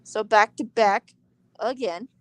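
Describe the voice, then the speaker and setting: A woman's voice: medium pitch, slow, dry recording. A twenty-year-old woman chatting casually and profanely, tired after work, calling friends bestie. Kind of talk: casual stream chat.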